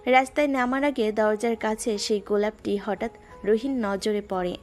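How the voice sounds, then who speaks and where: A woman's voice: medium pitch, steady tone, darkish tone, soft background music. Woman narrating a romance story. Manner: measured, lyrical.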